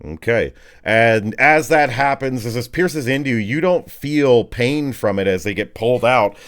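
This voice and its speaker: A man running a tabletop game as game master, narrating off the cuff in vivid, colloquial, halting phrases. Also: mid-range voice, fairly bright tone.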